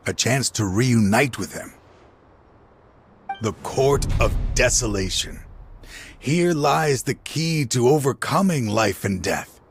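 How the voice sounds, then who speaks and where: An actor playing a weary, regretful older man in a video game, a grieving father who sighs and talks slowly. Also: mid-range voice, animated, faint music behind. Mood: pleading, eager. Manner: weary, slow, sighing. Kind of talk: game cutscene voice acting.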